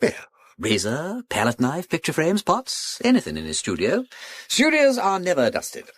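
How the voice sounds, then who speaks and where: An actor in a radio drama playing a genial, witty gentleman detective with an upper-class English accent, breezy and given to musing aloud. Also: fairly high pitch, wildly swooping pitch, moderate pace. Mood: musing, intrigued. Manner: breezy, whimsical, musing aloud, old-fashioned society slang.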